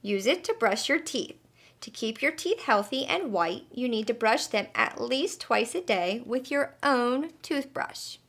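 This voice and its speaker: A woman's voice: fairly high pitch, expressive, unhurried, fairly bright tone, full character voice. A woman voicing a kindly, patient female butterfly who teaches in an encouraging, emphatic way in a children's storybook. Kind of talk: children's storybook read-aloud.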